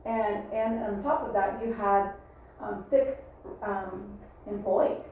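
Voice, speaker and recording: A heavily reverberant, far-off recording with faint noise carries a woman's voice. She reports to a board as a staff member, pausing and restarting in a hesitant way. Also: mid-range voice. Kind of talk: spoken report at a board meeting. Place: meeting room, distant microphone. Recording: dull echoey far-off room, faint noise.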